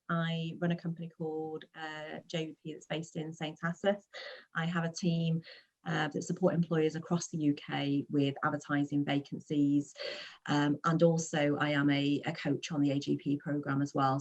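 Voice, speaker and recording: A woman in her forties hosting a relaxed webinar on a video call, chatty and full of fillers. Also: low-pitched. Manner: friendly, chatty, many fillers and restarts. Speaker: webinar presenter and recruitment coach. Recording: dull lo-fi video call audio.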